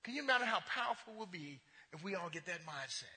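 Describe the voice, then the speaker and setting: A male pastor preaching with a strikingly high, piercingly bright voice. He stammers through restarts and repeats himself, swinging between loud passion and hushed asides. The sound carries the long reverb of a church sanctuary. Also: lively intonation, fast. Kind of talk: sermon.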